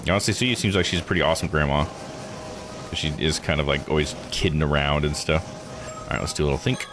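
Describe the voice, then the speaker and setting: A man with an extremely low voice comments casually on a game he is streaming. He sounds laid-back and thinks out loud as he goes, over clearly audible background noise. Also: steady tone, fast. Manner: laid-back, musing aloud. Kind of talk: casual let's-play commentary.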